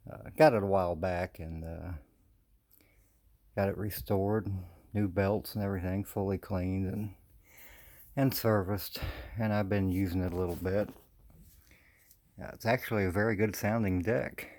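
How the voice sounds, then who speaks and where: A man with an American accent chatting casually and haltingly for a video, his articulation a little slurred from missing teeth. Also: deep voice, steady tone, a little mellow. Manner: hesitant, halting, folksy. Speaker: vintage audio collector presenting his channel. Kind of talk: casual unscripted video chat.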